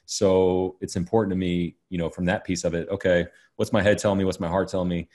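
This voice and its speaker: A man's voice: sits low, level tone, fast, dark tone. A man talking casually as a podcast guest, hesitant, with lots of "you know" and restarts. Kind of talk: casual conversation.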